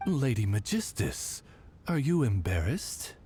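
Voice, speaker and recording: A man's voice: medium pitch, big pitch swings, takes it slowly, warm tone, faint music behind. A man playing a formal, dry, deferential familiar who interprets for a princess in a video game. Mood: courteous, dry. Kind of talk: video game cutscene acting.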